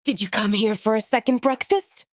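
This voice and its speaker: A woman's voice: on the high side, normal speed, dry recording, studio quality, voice pushed up, lightly acted. Woman acting welcoming, easygoing female housekeeper. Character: welcoming female packhouse caretaker, easygoing and hospitable.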